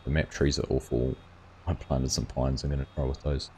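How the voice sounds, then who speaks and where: A man's voice: rumbling low, level tone, normal speed, a little mellow, slight background noise. A man hosting a gaming livestream, chatting casually and reading viewers' comments aloud. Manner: rambling, reads chat aloud and answers viewers.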